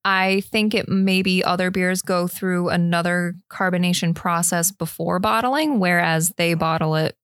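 A woman's voice: on the low side, fairly even intonation, unhurried, hardly any pauses, a bit bright, clean tone, no room sound. Woman, giggly, casual podcast co-host, dry close sound. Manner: giggly, reads brewery facts. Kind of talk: casual beer-tasting chat.

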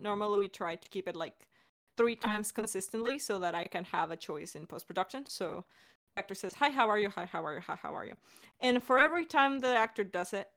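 A woman co-hosting a casual question-and-answer chat as a director, explaining things in an animated way. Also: mid-range voice. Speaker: podcast director and co-host.

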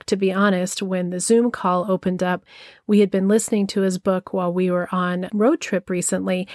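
A woman's voice: mid-range voice, darkish tone, no room sound, studio quality. A woman hosting an interview, introducing her guest in an admiring, gushing way. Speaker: podcast host.

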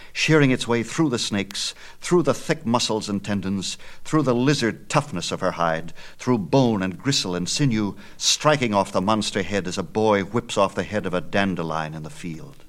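Male narrator, lowish register, dramatic and declamatory, reading myth in measured phrases. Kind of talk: dramatic scripted storytelling of myth.

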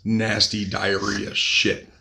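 A man hosting a podcast with crude jokes, his voice raspy from a cold. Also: lowish voice, monotone, slow pace, background noise. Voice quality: gravelly. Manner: crude, joking, upbeat. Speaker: podcast host. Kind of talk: casual podcast chat.

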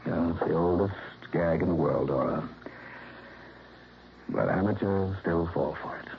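A man's voice: low voice, measured pace, slight background noise. An American actor playing a confident, needling insurance investigator in an old-time radio detective drama, clipped and assertive in his delivery. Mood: cool, wry. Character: hard-boiled, confident male insurance investigator, brisk and needling. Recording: muffled vintage radio recording, faint music.

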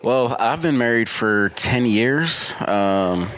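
A man's voice: medium pitch, lively intonation, slow, a bit bright, low-quality recording. A man in his late thirties, a podcast co-host, chatting in an easygoing, rambling way. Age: 30s. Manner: easygoing, rambling, trails off mid-thought. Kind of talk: casual podcast conversation.

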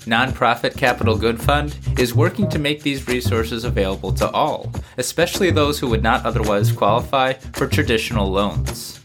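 A male podcast host with a cold, so his voice sounds slightly nasal. He moves briskly through scripted legal updates and ad reads at a medium pitch. Music plays clearly under his voice. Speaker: podcast host and immigration lawyer.

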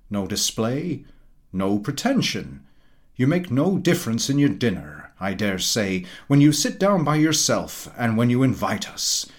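A man acts out a self-important American gentleman from a comic storybook, holding forth like a lecturer. His delivery is grand, opinionated and declamatory, with widely swinging intonation at a medium pitch. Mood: lecturing. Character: pompous, forceful American man lecturing fellow dinner guests. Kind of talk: storybook character dialogue.

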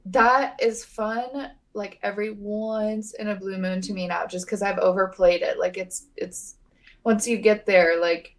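Twenty-something woman cohosting a chat podcast, playful, over-the-top, mid pitch. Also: steady tone, measured pace. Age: 20s. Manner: chatty, playful, dramatic exaggeration. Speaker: podcast co-host. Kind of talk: casual chat.

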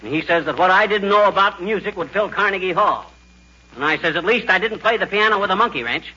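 A man's voice: high voice, lively intonation, fast talker, sharp tone, full character voice. A man acting a blustering, folksy, bumbling husband with an American accent who spins tall tales ungrammatically, in 1940s radio comedy style. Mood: boastful. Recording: dull, noisy 1940s radio recording.

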